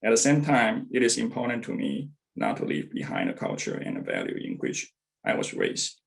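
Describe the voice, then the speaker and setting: A man's voice: rumbling low, wildly swooping pitch, gravelly, poor audio. A man in his thirties from Taiwan, reading a church testimonial carefully over a video call, with a few hesitations. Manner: careful, measured delivery with some hesitations. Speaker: church member giving testimonial.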